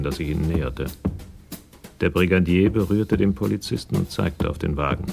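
An actor as a sober narrator of a German radio crime drama, measured and matter-of-fact. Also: male voice, low voice, creaky, darkish tone.

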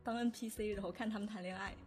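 A woman's voice: mid-range voice, steady tone, quick pace, a little mellow, soft background music. A woman chatting casually about creative work, as a composer on an audio drama team. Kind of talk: casual chat.

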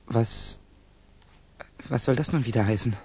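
An actor playing a bitter, guarded, touchy young Russian student in a 1960s German radio drama, with clipped, defensive questioning. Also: male voice, mid-range voice, a little slow, dark tone, slightly rough. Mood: indignant.

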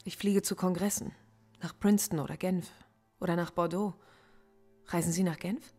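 An actress playing a cool, defiant philosophy professor under interrogation in a naturalistic radio drama, measured and dryly cutting. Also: female voice, on the low side, fairly even intonation, darkish tone, faint music behind, natural voice. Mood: guarded.

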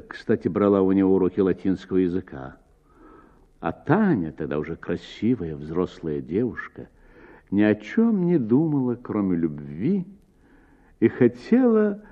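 A man reading a literary story for radio as an actor, with theatrical, varied phrasing and deliberate pauses. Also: on the low side. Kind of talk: expressive dramatic audiobook narration for radio. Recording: narrow-band, phone-like sound.

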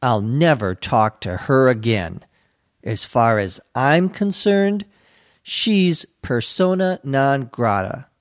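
A man's voice: medium pitch, lively intonation, takes it slowly, dry recording. A man playing a heartbroken young man in a scripted dialogue, speaking indignantly and resentfully about a woman.

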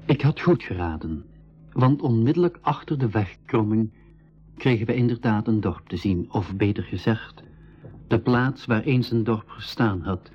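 An actor narrating an adventure for a school radio drama in a measured, serious way. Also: male voice, on the low side, lively intonation, close to an everyday voice. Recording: dull band-limited 1980s radio recording.

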